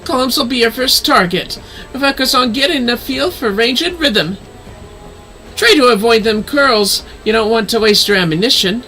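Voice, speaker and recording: A brisk, theatrical trainer from a fantasy game, voiced by a man gives folksy, hearty instructions. The voice is pushed high and bright, with music faintly behind it. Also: lively intonation, full character voice, low-quality recording. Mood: brisk instructing. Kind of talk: reading game dialogue aloud in character.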